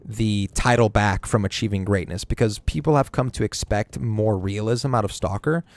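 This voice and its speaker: A man's voice: lowish voice, moderate pace, no room sound. A man streaming a game, chatting casually and giving rambling, opinionated takes with plenty of swearing. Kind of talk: casual gaming stream chat.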